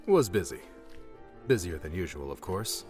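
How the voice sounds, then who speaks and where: Man voicing a very deep, dry male guardian. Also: big pitch swings, moderate pace, a little mellow, faint music behind. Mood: dry, businesslike. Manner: dry, matter-of-fact. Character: low-key, weary male guardian and guide. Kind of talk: audiobook character dialogue.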